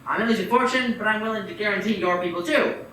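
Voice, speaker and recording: A man playing a scheming, wealthy old banker who sounds smooth and falsely helpful with a menacing edge. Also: high voice, quick pace, bright, forceful tone, a little hiss or hum. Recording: echoey room, far from the mic.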